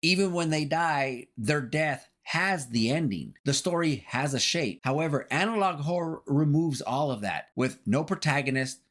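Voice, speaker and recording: A man delivers a scripted video essay as its narrator, analyzing horror. His voice sits a little high and moves with a very lively range of intonation. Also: moderate pace, few pauses.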